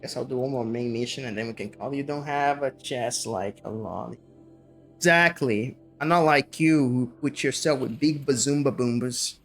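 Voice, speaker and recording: A man's voice: mid-range voice, moderate pace. A man chatting casually while streaming a game, bantering and teasing his viewers. His voice is mid-range. Faint music plays underneath. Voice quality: banters jokingly with chat. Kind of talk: casual gameplay commentary.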